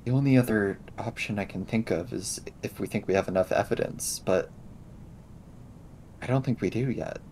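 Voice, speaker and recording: A man playing a tabletop role-playing game, thinking things through aloud in casual, halting phrases. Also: on the low side, a little mellow, a little hiss or hum. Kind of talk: casual in-character game discussion.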